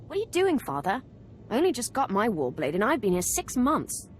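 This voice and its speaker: An actress playing a proud, resentful young Sith student in a video game, indignant and sulky. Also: female voice, on the high side, huge pitch swings, brisk, a little hiss or hum.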